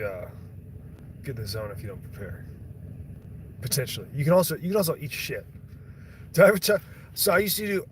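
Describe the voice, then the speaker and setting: A man's voice: mid-range voice, slight background noise. A young man on a stream, rambling and swearing in casual chat, often breaking off and restarting his sentences. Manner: rambling, profane, self-interrupting.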